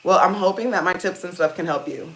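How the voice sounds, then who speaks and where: A woman with a deep voice hosting a casual hair-care livestream, chatting to viewers and calling them "you guys" while she steams her hair. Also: quick pace, fairly bright tone, low-quality recording. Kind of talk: casual live-stream chat reading comments. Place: indoors at home, seated on a small chair. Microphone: phone.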